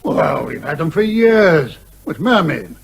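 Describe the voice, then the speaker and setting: Blustering old British major, slightly high-voiced. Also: male voice, sing-song, unhurried, gravelly, a little hiss or hum, exaggerated voice. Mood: hearty, genial. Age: older. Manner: pompous, hearty, blustering. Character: blustering, genial old retired major, father who despises lawyers. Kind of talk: old-fashioned radio comedy acting.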